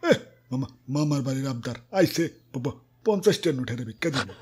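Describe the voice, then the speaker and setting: Man voicing a fast-talking, boastful, flustered Bangladeshi everyman. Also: mid-range voice, expressive, heavy background noise, lightly acted. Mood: incredulous. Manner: stammering, exclamatory. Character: boastful, flustered salaried man, stingy but self-admiring. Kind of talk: comic cartoon acting.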